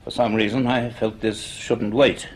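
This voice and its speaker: A man playing a grave, curious middle-aged university professor in a radio drama, speaking in a measured, formal, authoritative way. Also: lowish voice, flat delivery. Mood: intrigued, grave. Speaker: actor and narrator in a radio drama. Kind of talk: radio drama acting and first-person narration.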